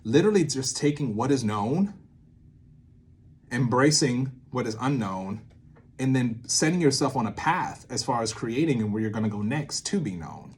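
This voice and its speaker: A man hosting a casual vlog, thinking aloud in an informal, rambling way with sudden drawn-out, emphatic words. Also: medium pitch, big pitch swings, breathy edge. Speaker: video series host, theatre maker. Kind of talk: casual unscripted creative-process talk, thinking aloud.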